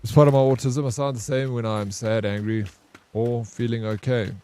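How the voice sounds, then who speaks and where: A young man casually commenting on his gameplay as a streamer, with a flat, monotone delivery. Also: mid-range voice, moderate pace.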